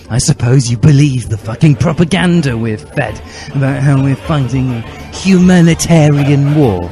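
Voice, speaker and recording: A man's voice: fairly high pitch, creaky, voice pushed up. A man voicing a furious, self-righteous teenage boy revolutionary who rants indignantly, in a comic dramatic reading. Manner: ranting, indignant, drawn-out stresses. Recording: loud music behind the voice.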